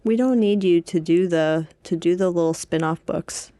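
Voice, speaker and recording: A woman's voice: fairly low pitch, normal speed, warm tone, slight background noise. A young woman chatting as a podcast co-host, informal and playfully emphatic in the way she talks. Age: young adult. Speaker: podcast co-host and PhD student. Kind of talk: casual podcast chat.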